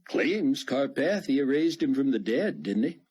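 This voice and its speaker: A man's voice: medium pitch, animated, unhurried, darkish tone, breathy edge, pitched above the performer's own voice. A man voicing a brash, joking male smuggler pilot with a folksy Southern US drawl. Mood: bantering.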